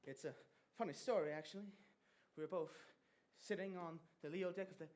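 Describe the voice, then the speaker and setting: A woman's voice: fairly low pitch, brisk, close to an everyday voice. A woman playing a naive, lovestruck young bridegroom in a stage musical comedy, earnest and old-fashioned in manner. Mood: dreamy. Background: loud musical accompaniment.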